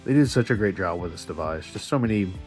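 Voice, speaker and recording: A man's voice: low voice, animated, fast, a little mellow, soft background music. A man talking casually and enthusiastically to viewers like a geeky fan vlogger, chatting through handheld virtual pet games.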